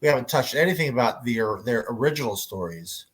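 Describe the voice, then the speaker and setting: A man moderating an informal fan panel as its host, speaking in halting, hesitant phrases. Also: mid-range voice, expressive, fairly bright tone.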